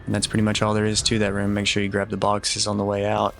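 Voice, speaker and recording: A young man giving relaxed, instructive commentary over a game walkthrough, easygoing and a little self-deprecating. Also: fairly low pitch, fast, creaky. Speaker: gameplay guide commentator.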